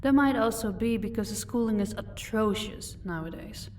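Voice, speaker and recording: A woman's voice: mid-range voice, moderate pace, close to an everyday voice. Distant-miked mocking vampire lady, acted by a woman. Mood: cool, commanding. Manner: taunting, condescending, composed. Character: powerful female vampire, mocking, commanding, married lady of an estate. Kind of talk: audio roleplay acting addressed to the listener. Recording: distant microphone, faint background noise.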